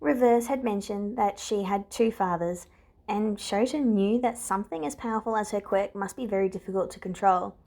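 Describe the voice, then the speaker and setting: A woman's voice: mid-range voice, moderate pace, a little mellow. A woman reading scripted fiction aloud as a narrator. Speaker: podfic reader.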